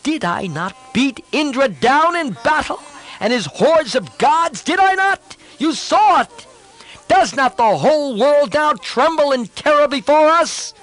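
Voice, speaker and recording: A man's voice: very high voice, animated, a little slow, a bit bright, faint music behind, voice pushed up, exaggerated voice, poor audio. A man voicing an arrogant, hot-tempered young prince who boasts indignantly.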